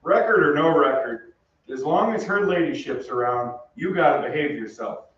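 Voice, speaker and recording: A man's voice: on the high side, lively intonation, moderate pace, fairly bright tone. A man playing a brash, good-natured American soldier with an American accent, slangy and breezy, in an old-time radio drama. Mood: breezy, firm. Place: on stage in a theatre. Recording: distant, echoey, muffled stage, faint noise.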